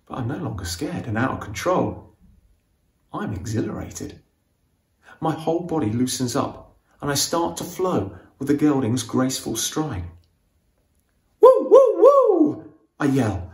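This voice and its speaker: A man reading a novel aloud as its author, with expressive, suspenseful storytelling in a dramatic audiobook style. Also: mid-range voice, echoey room.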